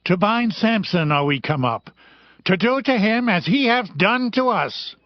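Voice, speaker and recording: An actor playing insistent men of ancient times in a Bible drama, with a raspy, gravelly voice and emphatic archaic phrasing. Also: male voice, high voice, expressive, measured pace, no room sound, dull audio. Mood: accusing. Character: ancient men: pleading father-in-law and accusing Philistine. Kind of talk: dramatized audio Bible acting.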